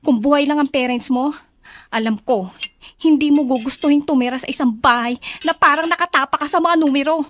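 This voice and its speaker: A woman with a Filipino accent playing an outspoken, caring young daughter in a radio drama, earnest and expressive. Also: high-pitched, brisk, a little hiss or hum, lightly acted. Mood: sympathetic, indignant.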